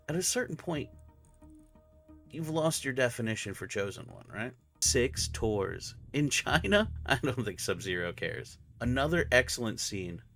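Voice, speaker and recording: Sardonic low-voiced man reviewing over music. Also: fairly bright tone. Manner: sardonic, wry asides. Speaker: movie reviewer. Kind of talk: casual review commentary with recap.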